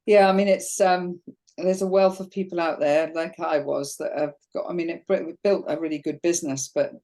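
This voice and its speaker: A woman host with a croaky voice, speaking candidly about her own experience and asking questions in a halting, personal way. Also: fairly low pitch, fairly even intonation, warm tone. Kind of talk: conversational interview.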